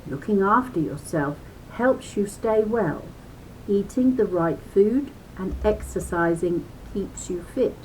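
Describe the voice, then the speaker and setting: A woman's voice: fairly high pitch, a little mellow, a little hiss or hum, rough recording. A woman reading a children's book aloud in a teacherly, emphatic way, adding chatty asides and questions to her listeners.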